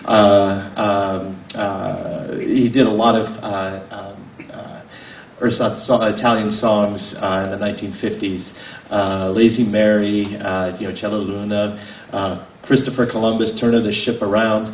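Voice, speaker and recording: A man in his forties, an author, giving a casual, halting talk with many fillers and restarts to a small audience in a library. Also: on the low side, flat delivery, a little hiss or hum. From United States. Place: library room, indoors. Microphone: table mic on a stand, filmed from a distance. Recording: roomy, mic at a distance.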